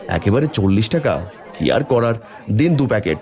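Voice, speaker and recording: A man's voice: lowish voice, normal speed, a faint crowd. A man voicing a hopeful, kindly poor farmer and family man in a cartoon. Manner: animated, warm to family. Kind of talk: cartoon voice acting. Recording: soft music behind the voice.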